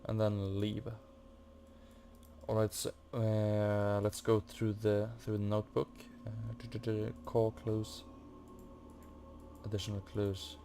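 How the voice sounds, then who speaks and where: Low, flat-toned man doing game commentary. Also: takes it slowly, long pauses. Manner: hesitant, muttering to himself. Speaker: gaming video host. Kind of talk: casual game walkthrough commentary, reading on-screen text. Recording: faint noise from a fan. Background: computer or room fan.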